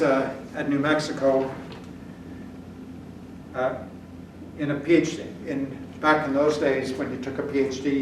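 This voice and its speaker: An older man whose first language is German, lecturing on statistics at a whiteboard in a casual, blunt way that keeps checking in with the class. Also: medium pitch. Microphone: camera at the back of the room. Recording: echoey classroom from far off, noisy.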